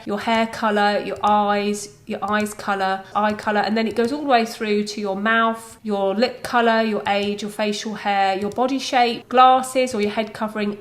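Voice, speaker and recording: A woman presenting a video software tutorial, explaining step by step in an informal, conversational way. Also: mid-range voice.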